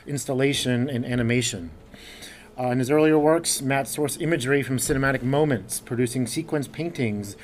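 A man's voice: medium pitch, slight background noise. A man, the program director, reading a formal introduction of a guest speaker from notes at a podium. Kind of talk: read-out introduction. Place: dark lecture hall.

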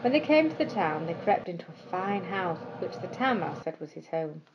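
A woman narrating scripted bedtime stories for children in a measured, expressive storytelling manner. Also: lowish voice, highs cut off, noisy background.

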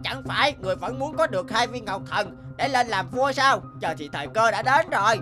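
A woman's voice: a little high, lively intonation, a little fast, music in the background, close to an everyday voice. A woman voicing a scheming crow henchman in a cartoon, sly and eager. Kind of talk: cartoon voice acting.

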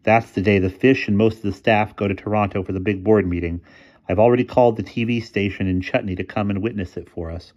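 A bold schoolboy leader from a storybook, voiced by a man, cheerful and commanding. He gives brisk orders to his pals. The sound is slightly dull. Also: on the low side, fairly even intonation, darkish tone, lightly acted. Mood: satisfied.

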